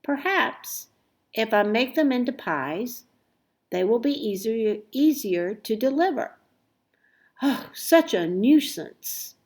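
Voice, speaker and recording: A woman's voice: fairly high pitch, animated, slow pace, a little mellow. A woman voicing a weary but determined countrywoman given to grumbling and exclaiming in a storybook.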